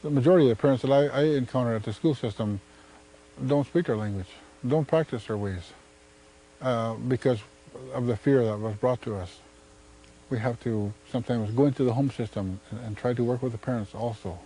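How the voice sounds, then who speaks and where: Earnest, halting man with mellow voice. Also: mid-range voice, breathy edge, poor audio. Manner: halting, repeats words, earnest. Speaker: school helping professional. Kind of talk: documentary interview testimony.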